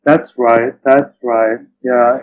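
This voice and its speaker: Halting, thoughtful man, dull lo-fi recording. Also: medium pitch, fairly even intonation, a little hiss or hum. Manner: halting, thoughtful, often says "you know". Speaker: interview guest, literary translator. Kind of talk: reflective interview conversation.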